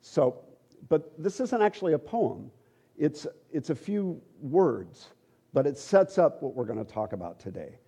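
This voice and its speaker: Warm, husky-voiced man giving a sermon. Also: fairly high pitch. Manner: relaxed, joking, halting asides. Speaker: church teacher. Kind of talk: conversational sermon.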